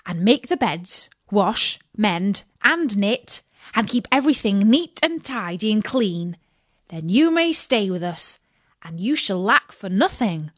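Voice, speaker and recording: A woman's voice: mid-range voice, sing-song, takes it slowly, fairly bright tone, dry recording, clean studio sound, heavily acted. A woman voicing fussy, kindly little male dwarfs in an exclaiming manner for a children's storybook. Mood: kindly, earnest. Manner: exclaiming, questioning.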